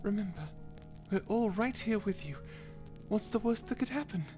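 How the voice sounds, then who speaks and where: Kind guardian angel, played by a woman: mid-range voice, measured, softly formal and protective, audio drama. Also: medium pitch, expressive, soft background music, lightly acted. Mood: tender, reassuring. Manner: gentle, formal, measured, reassuring. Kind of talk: character audio roleplay.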